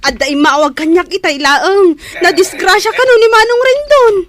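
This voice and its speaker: Woman playing a high-voiced, scolding relative. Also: normal speed, slight background noise. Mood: alarmed, urgent. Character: worried, scolding female relative of a village family. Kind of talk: radio drama acting.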